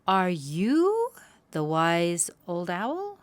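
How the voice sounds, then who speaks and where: Woman voicing an excitable, earnest squirrel. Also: lowish voice, very slow, no echo, heavily acted. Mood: concerned. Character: forgetful, excitable female squirrel seeking help.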